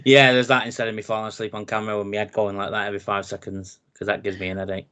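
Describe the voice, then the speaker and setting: Man, thirties, very deep low voice, relaxed chatty podcast co-host. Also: rapid-fire, clear, resonant tone. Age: 30s. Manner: relaxed, chatty, laughs easily. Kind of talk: casual wrestling chat.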